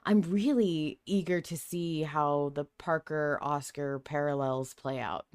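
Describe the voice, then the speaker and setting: A woman's voice: low-pitched, fairly even intonation, a little slow, a little mellow, clean tone, dry recording, studio quality. A woman hosting a podcast, talking casually as she discusses a story with a co-host.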